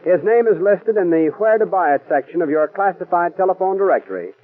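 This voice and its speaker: A man with a somewhat high voice reads a scripted commercial in a smooth, persuasive announcer's manner. The sound is tinny and narrow, like an old radio broadcast. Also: lively intonation, brisk.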